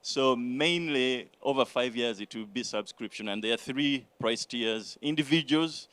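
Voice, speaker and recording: A man answering judges' questions at a startup pitch, halting and full of fillers. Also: a bit bright, no room sound. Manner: hesitant, many fillers. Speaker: startup founder.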